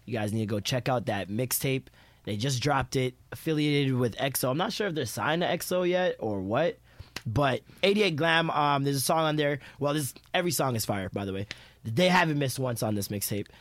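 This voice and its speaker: Male co-host for a casual show: average pitch, joking, slang-heavy delivery, very little room sound. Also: animated, normal speed, no room sound. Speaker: podcast co-host. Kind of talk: casual chat with slang and swearing.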